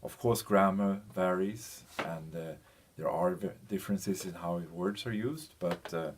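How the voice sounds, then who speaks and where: Guy with a deep low voice doing an informal slide lecture, kinda halting, noisy recording. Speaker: university lecturer presenting a lesson. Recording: clear background noise.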